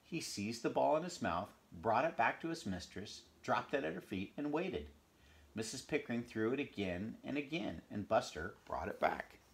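A man in his fifties reading a children's storybook aloud in an expressive, animated way at home. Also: mid-range voice, moderate pace, creaky. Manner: animated storytelling with asides to the listener. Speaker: storybook reader. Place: small furnished room at home.

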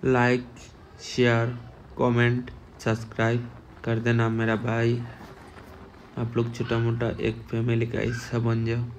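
A man's voice: mid-range voice, monotone, takes it slowly, low-quality recording. A man giving casual, repetitive running commentary as a motovlogger while riding. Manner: repetitive, exclaiming at what he sees. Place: outdoors on a moving two-wheeler. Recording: faint road noise. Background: traffic and outdoor ambience.

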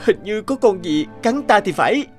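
An actor playing an honest, easily alarmed peasant farmer in a cartoon folk tale, earnest and expressive. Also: male voice, high-pitched, moderate pace. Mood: pained, despairing. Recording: music under the voice.

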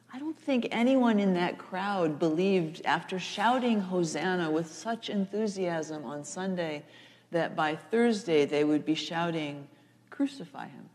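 An older woman pastor delivers a sermon in a slightly low voice. She speaks slowly and deliberately, repeating phrases for emphasis, and her words ring in a large, reverberant church. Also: darkish tone. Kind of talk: co-preached sermon, storytelling delivery. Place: large church sanctuary, seated at front. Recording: echoey church hall, long reverb.

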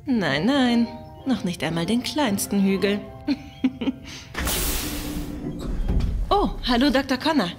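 Actress as spirited starship navigator, music behind. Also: female voice, a little high, expressive, slow pace, long pauses. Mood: teasing. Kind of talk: science-fiction radio play acting.

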